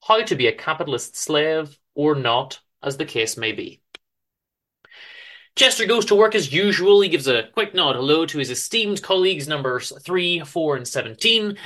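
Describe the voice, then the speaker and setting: Narrator for a dramatic story: man, theatrical delivery, bright forceful tone, swooping pitch.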